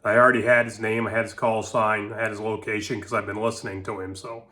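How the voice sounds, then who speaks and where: Male hobbyist host, lower voice, rambling folksy explainer. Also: flat delivery, fast, bright, forceful tone. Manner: folksy, rambling, frequent "you know" and "uh". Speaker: ham radio hobbyist and channel host. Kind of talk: casual explainer vlog.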